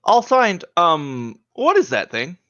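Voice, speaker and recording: A man's voice: sits high, huge pitch swings, slow, sharp tone, muffled recording, a little hiss or hum, full character voice. A man playing a hesitant, curious job applicant in an audio drama, tentative and full of questions. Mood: uneasy, skeptical.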